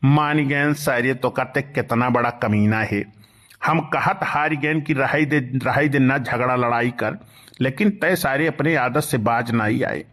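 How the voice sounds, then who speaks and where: A man's voice: medium pitch, a little slow, a bit bright, close to an everyday voice. A man plays a grumbling village elder in a comic cartoon. The male voice has an Awadhi accent and a disapproving manner. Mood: exasperated.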